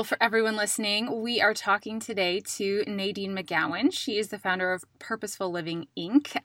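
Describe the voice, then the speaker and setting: A woman's voice: mid-range voice, bright, forceful tone, no room sound. A woman hosting a podcast, chatty and encouraging as she introduces and interviews in a conversational style.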